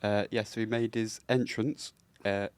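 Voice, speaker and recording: A young man, the podcast producer, reading out a sports story haltingly with lots of "uh". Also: on the low side, level tone, clean tone, no room sound. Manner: halting, filler-heavy reading of news. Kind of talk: casual chat.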